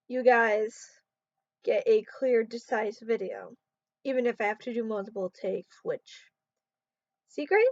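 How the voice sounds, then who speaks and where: A sleep-deprived woman talks loosely to her camera, rambling and trailing off as her voice wears hoarse. Her voice sits a little high. The sound is dull and muffled, in a dead, dry room. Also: darkish tone, no echo. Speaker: vlogger. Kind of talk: casual talk to camera.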